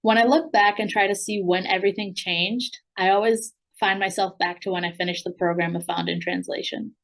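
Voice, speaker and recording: An American woman, a program alumna and language coach, reading a prepared speech in an earnest, steady way. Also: medium pitch, fairly quick, gravelly, poor audio. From United States.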